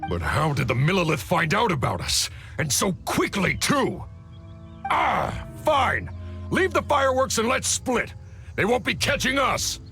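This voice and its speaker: An actor playing a cocky, crude bandit boss who talks in slang and swaggers, in a game cutscene. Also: male voice, high-pitched, very animated, a little slow, vocal fry, pushed and bright, soft background music, exaggerated voice. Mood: alarmed. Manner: slangy, swaggering, stammers when caught.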